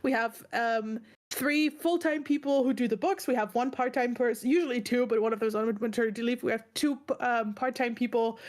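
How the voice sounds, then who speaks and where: Female, high voice, stressed-out and exasperated, telling a rambling, emphatic story in casual chat. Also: fairly quick, darkish tone. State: stressed and upset. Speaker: book club stream co-host.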